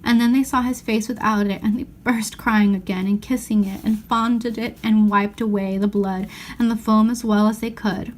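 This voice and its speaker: A woman reading a children's novel aloud as an expressive storyteller. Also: medium pitch, normal speed, hardly any pauses. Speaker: storybook reader.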